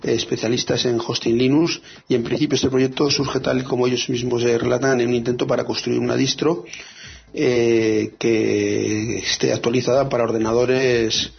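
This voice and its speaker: A man with a gravelly, husky voice talks casually on a radio show about free software. He hesitates often, filling gaps and restarting his sentences. The recording is dull and lo-fi. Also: medium pitch, level tone, normal speed. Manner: hesitant, many fillers and restarts.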